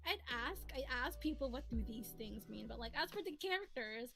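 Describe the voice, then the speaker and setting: High-voiced woman streamer, chatty and rambling. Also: expressive, brisk, creaky. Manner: giggly, rambling, repeats phrase starts. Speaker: streamer and host, comic dub maker. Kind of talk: casual livestream chat. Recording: soft music playing behind the voice. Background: soft lofi music.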